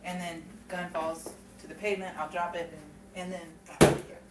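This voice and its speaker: A woman's voice: lowish voice, level tone, brisk. A woman chatting casually and playfully during a rehearsal, breaking into giggles as she talks. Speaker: performer and producer in an amateur audio drama. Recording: roomy, distant mic, loud noise and crowd din.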